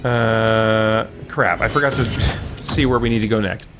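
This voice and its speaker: A man's voice: lowish voice, fairly even intonation, a little slow, music in the background. Musing man doing casual game commentary. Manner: musing, talking to himself. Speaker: video game let's player, host. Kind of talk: casual gameplay commentary, thinking aloud.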